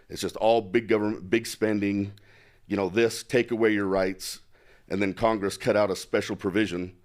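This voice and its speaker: A middle-aged man from Utah, a candidate for office, talking bluntly in a casual interview with many fillers. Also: lowish voice. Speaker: congressional candidate.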